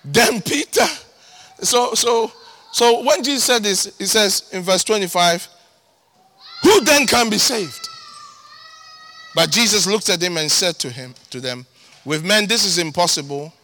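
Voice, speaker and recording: A man with a Ghanaian accent preaching a sermon as pastor, animated, breaking into shouts and sing-song emphasis. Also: high voice, unhurried, pauses often.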